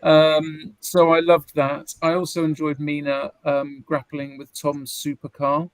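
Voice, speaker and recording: A woman chatting as a livestream guest in a hesitant, polite, measured way. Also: low voice, monotone, darkish tone, dry recording, poor audio. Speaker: cast guest on a Q&A. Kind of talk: casual livestream chat.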